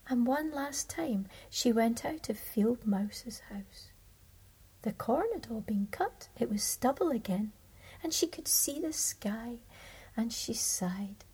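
Woman storyteller, whispered bedtime read, slow, breathy, mellow tone. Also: on the high side, low-quality recording. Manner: soft whispering, slow and gentle. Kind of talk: whispered fairy tale narration.

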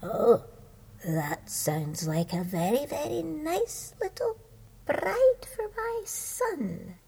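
A woman voicing a scheming old mother toad in a breathy, whispery voice. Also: sits high, very animated, extremely slow, dark tone, slight background noise, voice pushed up, full character voice. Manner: whispery, cackling.

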